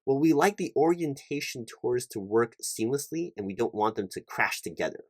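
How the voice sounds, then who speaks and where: A man's voice: mid-range voice, expressive, moderate pace, no echo, clean studio sound, natural voice. A man playing an officious, polite recruiting manager in a one-sided ASMR roleplay, talking in a wordy, hesitant way and repeating himself. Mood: pedantic, explanatory. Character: officious, rambling male corporate recruiting manager, polite and awkward.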